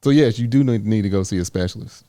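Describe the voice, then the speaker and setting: A man's voice: fairly low pitch, fast, dark tone, no room sound, clean studio sound. A man co-hosting a podcast in casual, plainspoken chat, laid-back and teasing. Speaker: podcast co-host.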